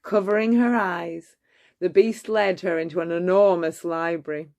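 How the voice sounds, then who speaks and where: A woman reading a bedtime story aloud in an expressive, measured way. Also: fairly low pitch, creaky.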